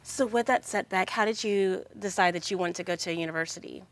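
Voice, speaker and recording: A woman's voice: medium pitch, fast talker. A woman interviewer and presenter for a university, reading a polished script and asking questions in an upbeat way.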